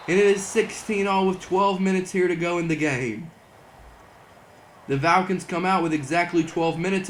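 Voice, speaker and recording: A young man doing excited mock broadcast commentary over a football video game, saying he is losing his voice. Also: high-pitched, slight background noise. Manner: excitable play-by-play, rising to near-shouts on big plays. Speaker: gaming channel host doing play-by-play.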